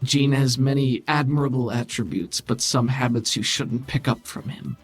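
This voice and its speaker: A man playing a cool, scheming, condescending political mastermind who speaks formally and with measured authority in a tabletop game. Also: medium pitch, steady tone, breathy edge, faint music behind. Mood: disdainful.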